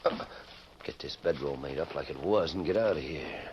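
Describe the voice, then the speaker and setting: A sly, suspicious ranch-foreman villain with a very low voice, muttering to himself in a radio western. The old recording is muffled, with some hiss. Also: moderate pace. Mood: sly, musing.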